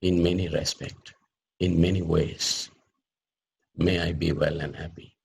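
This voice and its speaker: A man leading a guided meditation as a teacher, speaking deliberately in short phrases with pauses between them. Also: low-pitched, little pitch movement, vocal fry, darkish tone, breathy edge.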